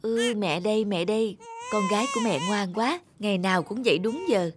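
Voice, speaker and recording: A woman's voice: mid-range voice, animated, fairly quick, noisy background, lightly acted. A woman voicing a young, doting and anxious mother in a cartoon. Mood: tender. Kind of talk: cartoon voice acting.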